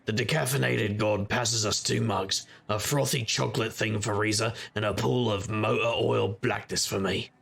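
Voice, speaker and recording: Man as a very gravelly, roguish private eye. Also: fairly low pitch, steady tone, moderate pace, hardly any pauses, a bit bright, exaggerated voice. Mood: wry. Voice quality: gravelly, husky. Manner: wry, world-weary wisecracking. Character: gruff, roguish, hard-drinking male private investigator narrator. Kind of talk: noir audio drama narration and dialogue.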